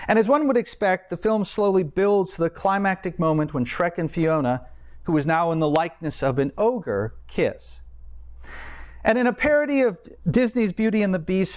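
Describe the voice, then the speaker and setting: A man's voice: sits high, darkish tone. A man preaching a scripted sermon in formal, erudite language, with wry asides. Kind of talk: scripted sermon, read like an essay.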